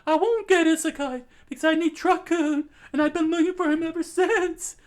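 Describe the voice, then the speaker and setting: A woman voicing an anxious, excitable teenage boy who rambles and stumbles over his words. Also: extremely high pitch, normal speed. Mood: earnest. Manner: rambling, excitable, breaks into laughs.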